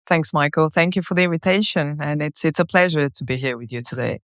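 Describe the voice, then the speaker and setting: A woman's voice: low-pitched, a little fast, dry recording, studio quality. A woman in her thirties from Bulgaria, with a Bulgarian accent, telling her story as a podcast guest. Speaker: podcast guest, company co-founder. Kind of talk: conversational interview storytelling.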